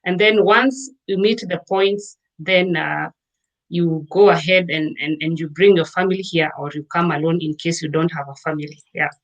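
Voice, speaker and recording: Woman from Kenya explaining calmly. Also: on the low side, normal speed, dull audio. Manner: explanatory, with many fillers and restarts. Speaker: guest. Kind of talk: casual interview.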